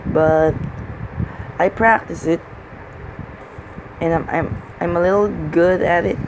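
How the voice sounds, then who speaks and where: Slow, hesitant Filipino-accented gamer guy. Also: a little high, steady tone, muffled recording, noisy background. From Philippines. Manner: hesitant, halting, many fillers and restarts. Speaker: gaming channel host. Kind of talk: casual let's-play commentary.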